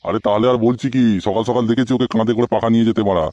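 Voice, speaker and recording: A man's voice: mid-range voice, steady tone, rapid-fire, darkish tone, no room sound, highs cut off, natural voice. A man voicing a nosy, moralising older uncle in a comic cartoon. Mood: chatty, inquisitive.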